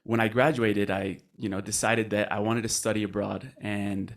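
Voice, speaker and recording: A man's voice: lowish voice, level tone, moderate pace, gravelly. A man in his twenties from Colombia, a podcast guest telling his story in an earnest, reflective way. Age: 20s. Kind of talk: casual podcast interview.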